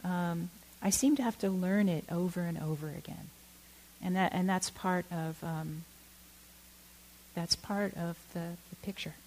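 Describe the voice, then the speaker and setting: A middle-aged woman from Oakland giving a reflective talk, halting and candid, with many fillers and self-corrections. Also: on the low side, a little mellow, poor audio. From Oakland, California. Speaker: dharma talk speaker.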